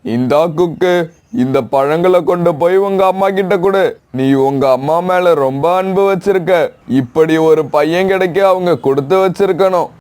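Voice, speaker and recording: A man's voice: high voice, moderate pace, a little mellow, smooth, natural voice. A man voicing a kindly old pigeon who owns an orchard, in a children's cartoon story. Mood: grateful, kindly. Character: old male pigeon orchard owner, kindly, asking for help.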